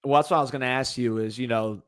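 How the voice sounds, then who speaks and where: A man's voice: medium pitch, fairly even intonation, quick pace, fairly bright tone, smooth. A man hosting a sports podcast, talking casually and repeating words as he works through his thoughts. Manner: loose, repeats words while thinking. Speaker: podcast host.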